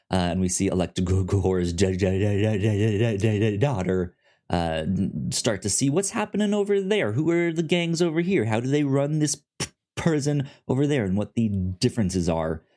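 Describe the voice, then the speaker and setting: Young male co-host, low-pitched, heavy stutter on word starts, easygoing chat. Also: dark tone. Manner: stutters heavily on first sounds. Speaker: podcast co-host. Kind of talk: casual chat.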